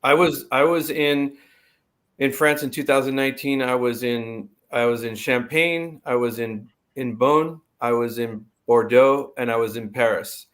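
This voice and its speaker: A man on a video call panel, agreeable, chiming in with quick affirmations and short remarks. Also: medium pitch, a bit bright. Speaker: panel guest. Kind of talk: casual panel chat.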